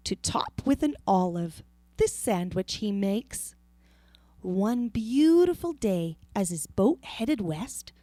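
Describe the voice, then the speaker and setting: A woman reading a rhyming children's picture book aloud in a theatrical, deliberate way. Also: mid-range voice, dark tone, no echo. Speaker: storybook reader.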